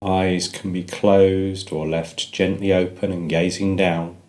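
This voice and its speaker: A man narrating a guided meditation, giving gentle, measured instructions with pauses between phrases. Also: low voice, level tone.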